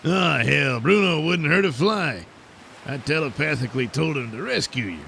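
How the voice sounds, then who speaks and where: A man's voice: fairly high pitch, expressive, normal speed, creaky, bright, forceful tone, exaggerated voice. A man playing a casual, folksy aquatic superhero in a comic audio serial, nonchalant and ungrammatical, with light noise in the recording.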